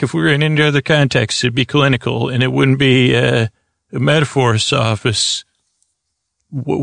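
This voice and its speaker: Creaky-voiced man rambling sleepily. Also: medium pitch, a bit bright, dry recording, studio quality. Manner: meandering, halting, absurdist one-sided conversation with an imagined doctor. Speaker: podcast host. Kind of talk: rambling improvised bedtime comedy monologue.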